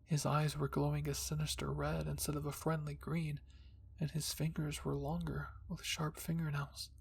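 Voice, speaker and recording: A man reading a spooky fan-fiction story in soft, hushed ASMR narration. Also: fairly high pitch, completely flat delivery, moderate pace, gravelly, a little hiss or hum. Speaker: storyteller, channel host.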